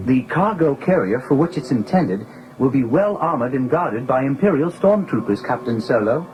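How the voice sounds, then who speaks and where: An actor voicing a prim, deferential protocol droid with a British accent and formal, over-polite diction in an audio drama. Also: male voice, medium pitch, animated, moderate pace, over music, lightly acted, poor audio. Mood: earnest, formal.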